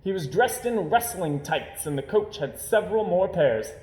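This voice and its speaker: Animated man reading a children's story. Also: sits high, normal speed. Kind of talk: expressive children's story narration. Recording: roomy, echoing home recording.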